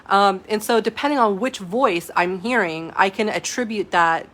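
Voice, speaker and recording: A woman teaching a live online class as a spiritual coach, talking casually and pausing to read viewers' comments, with many fillers and asides. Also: medium pitch, fairly bright tone. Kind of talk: live-stream teaching, reading chat comments.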